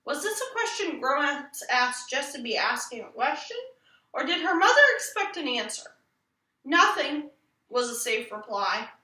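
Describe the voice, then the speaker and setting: A woman's voice: high voice, big pitch swings, moderate pace, bright, forceful tone. A woman narrating a children's story expressively, as a storybook reader. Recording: echoey room, distant microphone.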